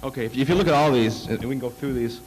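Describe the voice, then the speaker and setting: A man presenting slides at a conference, halting with many fillers and restarts, fighting off a cold. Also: mid-range voice, darkish tone, a little hiss or hum, rough recording. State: coming down with a cold. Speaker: conference speaker. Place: conference hall.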